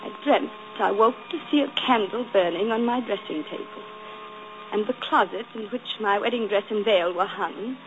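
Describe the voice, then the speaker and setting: A woman's voice: medium pitch, moderate pace, lightly acted. A woman playing a proud, passionate young governess in a 1940s radio drama, turning clipped and bitter, then breathless. The sound is muffled and hissy, like an old broadcast. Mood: wistful.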